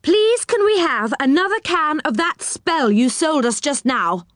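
A woman's voice: high-pitched, animated, measured pace, a bit bright, dry recording, studio quality, pitched above the performer's own voice. A woman voicing a practical, resilient little man from a children's fairy storybook in a matter-of-fact manner. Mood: practical, brighter.

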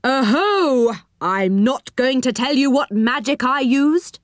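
A woman's voice: on the high side, animated, slow, no room sound, clean studio sound, pitched above the performer's own voice. A woman voicing a bold, fearless male storybook adventurer who bluffs with a magician's authority. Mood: bold bluffing.

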